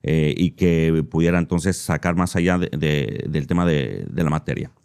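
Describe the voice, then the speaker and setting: Man, interview host, very low pitch, smooth, dry, hesitant questions. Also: a little mellow. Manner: halting, hesitant, full of restarts. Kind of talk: conversational interview.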